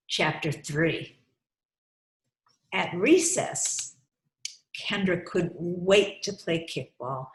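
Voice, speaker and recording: A woman reading a storybook aloud to young children, expressive and coaxing, stopping to put questions to her listeners. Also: fairly low pitch. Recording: roomy, echoey sound.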